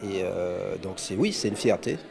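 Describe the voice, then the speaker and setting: Hesitant Guadeloupean sailor, mellow low voice. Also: male voice, animated, moderate pace, vocal fry. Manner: hesitant, many fillers and restarts. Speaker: amateur sailor. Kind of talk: press interview.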